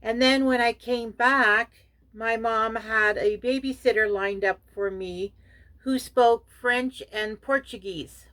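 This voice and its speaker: A woman's voice: a little high, fairly bright tone, rough recording. A middle-aged woman from Canada whose first language is German, talking casually and hesitantly through a crafting show-and-tell, with many fillers and self-corrections. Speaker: crafting vlogger.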